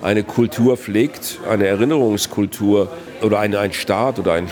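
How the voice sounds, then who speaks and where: A man, a philosophy professor answering interview questions in a measured, reflective way. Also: on the low side, a little mellow. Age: 60s. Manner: measured, reflective, builds long reasoned sentences.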